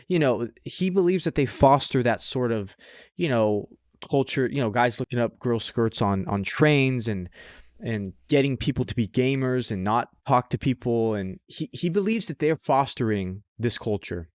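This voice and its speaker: A man co-hosts a casual podcast, reviewing shows in an informal, rambling way. His voice sits at an average pitch and the sound is very dry. He talks loosely and drops swear words casually. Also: no echo. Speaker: anime podcast host.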